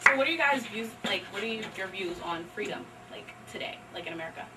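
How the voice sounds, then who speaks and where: A woman's voice: medium pitch, lively intonation, quick pace, very noisy. A woman presenting and reading poetry to a small audience at a live library event. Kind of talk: dramatic poetry recital and informal audience discussion. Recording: distant live room recording.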